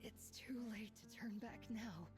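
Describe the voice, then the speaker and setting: A woman's voice: medium pitch, a little slow, natural voice. An actress playing a stern, battle-hardened female colonel, weary and remorseful, in a dramatic anime game. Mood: weary, regretful. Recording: loud music behind. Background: loud game music.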